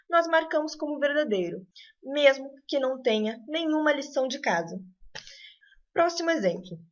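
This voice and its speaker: A woman, teaching a recorded math lesson in a clear, patient, explanatory way. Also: high voice.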